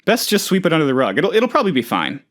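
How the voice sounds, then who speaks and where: Goofy excitable office boss, video game character, highish pitch, very animated intonation, rambling, gullible, hesitant. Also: male voice, fast talker. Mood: indignant, uneasy. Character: naive, excitable, oddball male office boss.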